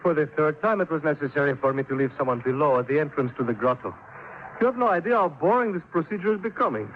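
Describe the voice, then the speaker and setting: An actor playing a suave, smug foreign villain in a 1950s radio drama, with silky, florid, mock-affectionate delivery. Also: male voice, a little high, animated, fast talker, lightly acted. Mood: smug gloating. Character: suave, gloating male foreign villain, smugly theatrical. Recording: muffled, noisy old radio recording.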